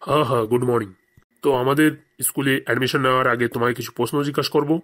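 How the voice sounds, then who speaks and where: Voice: mid-range voice, fairly even intonation, racing, a bit bright, a little hiss or hum, natural voice. A performer voicing a stern, sarcastic male headmaster in a comedy cartoon. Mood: stern, questioning. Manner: sarcastic, scolding interrogator.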